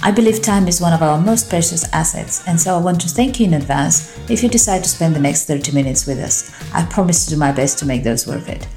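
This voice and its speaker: Low-voiced woman hosting a podcast. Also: fast, few pauses, a little mellow, soft background music. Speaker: podcast host. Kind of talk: scripted intro, then casual interview chat.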